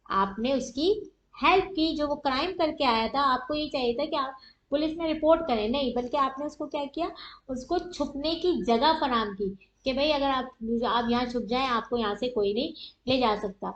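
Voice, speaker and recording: A woman's voice: high voice, moderate pace. A woman with a Pakistani accent giving an explanatory law lecture, didactic and often asking questions that she answers herself. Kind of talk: explanatory lecture with everyday examples. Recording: echoey room, dull muffled audio.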